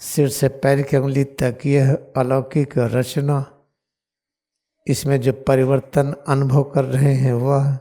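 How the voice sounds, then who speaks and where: A man with an Indian accent leading a guided meditation as a teacher, giving deliberate, repeated, solemn instructions. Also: medium pitch, completely flat delivery, mellow.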